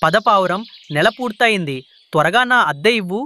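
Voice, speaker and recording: A man's voice: high-pitched, expressive, brisk, sharp tone, a little hiss or hum. A man playing a sly, greedy crow landlord who wheedles and bosses in a children's cartoon. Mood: demanding. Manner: scheming, wheedling, bossy.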